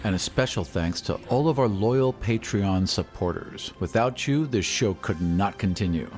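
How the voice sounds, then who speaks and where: A man's voice: lowish voice, expressive, normal speed, a little mellow. Male fantasy game master over music. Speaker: game master and podcast host. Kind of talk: scripted narration of a fantasy tabletop game. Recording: music under the voice.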